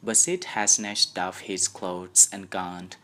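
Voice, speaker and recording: Slow, low-voiced young Thai man reading. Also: fairly even intonation, bright, forceful tone. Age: young adult. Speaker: student audiobook reader. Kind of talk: scripted story narration.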